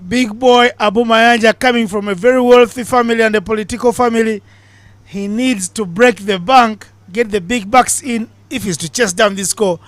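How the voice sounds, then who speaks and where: A man commentating on cricket live from a commentary box, bantering and joking between balls and getting excited on big hits. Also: sits high, moderate pace, no room sound. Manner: chatty, jokey banter with big excited calls.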